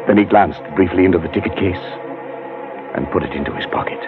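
A man's voice: low-pitched, fast, music in the background, close to an everyday voice. An actor playing a haunted Englishman who narrates his ordeal in a 1940s radio horror drama. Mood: haunted, reflective. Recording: tinny, narrow-band 1940s radio recording.

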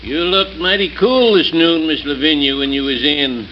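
An actor playing a chatty, familiar small-town druggist in old-time radio drama, folksy and gossipy. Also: male voice, fairly high pitch, moderate pace, natural voice. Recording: muffled vintage radio recording, faint noise.

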